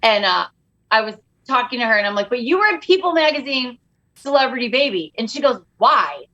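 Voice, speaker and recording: A woman's voice: fairly high pitch, a little fast, highs cut off. A middle-aged woman chatting casually as a comedian guest, acting out bits of dialogue as she tells stories. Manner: animated storyteller who acts out quoted dialogue. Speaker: podcast guest, comedian and author. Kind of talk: casual comic chat.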